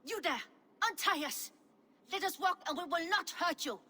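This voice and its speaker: A woman's voice: very high voice, moderate pace, sharp tone, slight background noise. An actress playing a tough, resourceful woman merchant with a direct, assertive way of speaking in a game. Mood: urgent.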